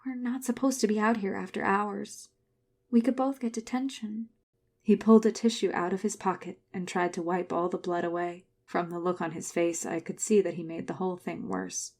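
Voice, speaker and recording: Actress for guarded female lead and narrator, wounded, confessional, mid-pitched, audio drama. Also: steady tone, normal speed, creaky, a little mellow. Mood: subdued, reflective. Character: Florence, guarded, wounded woman recalling her religious school childhood. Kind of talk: first-person scripted drama narration.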